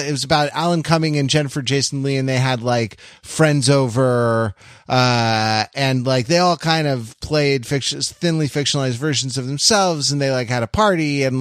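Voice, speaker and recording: A man's voice: mid-range voice, no echo, clean studio sound. A man co-hosting a podcast, talking casually about film in a hesitant, meandering way that keeps interrupting itself. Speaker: podcast co-host.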